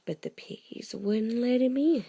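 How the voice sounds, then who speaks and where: A woman tells bedtime stories in a mellow voice full of vocal fry. She takes it slowly and playfully, chatting and asking questions as she goes. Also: fairly high pitch, lively intonation. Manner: playful, prompts the child with questions. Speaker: storyteller to a child. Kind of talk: bedtime storytelling with casual chat.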